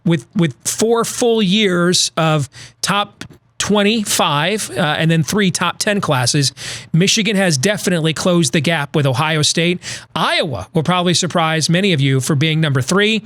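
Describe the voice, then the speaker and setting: A man's voice: on the high side, unhurried, a bit bright, dry recording. A man hosting a podcast, giving a conversational college football analysis with frequent restarts and self-corrections. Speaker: podcast host.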